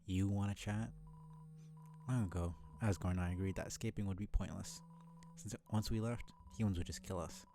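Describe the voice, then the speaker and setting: A weary old monster shopkeeper from a video game, voiced by a man. He speaks low and gravelly, wry and scornful, with tired defiance. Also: fairly even intonation, moderate pace. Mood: scornful, resigned. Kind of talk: video game character read-aloud.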